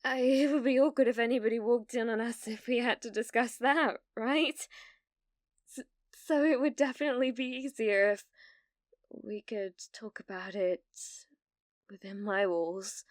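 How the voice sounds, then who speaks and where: A British woman playing a needy, delusional young gamer in an ASMR roleplay, hesitant and stammering, blithely casual about dark things. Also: on the high side, steady tone, moderate pace, no echo, clean studio sound, close to an everyday voice. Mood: flustered, eager.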